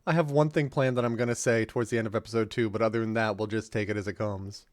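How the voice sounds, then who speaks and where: A man's voice: lowish voice, fairly even intonation, fast, no room sound. A man hosting a casual group commentary, speaking informally into a close mic. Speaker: host.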